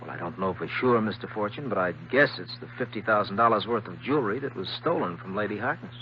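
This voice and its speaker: Easygoing ship's doctor, lowish voice, old radio play. Dull recording with hum. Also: male voice, animated, fast talker. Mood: casual. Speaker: actor. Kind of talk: 1950s radio drama acting.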